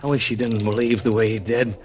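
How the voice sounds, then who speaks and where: An actor playing an anxious young man torn between loyalty to his father and his conscience, speaking with uneasy tension and dropping to a hushed tone when he narrates, in a 1950s radio drama. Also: fairly low pitch, flat delivery, fairly quick, a little hiss or hum, natural voice. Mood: somber.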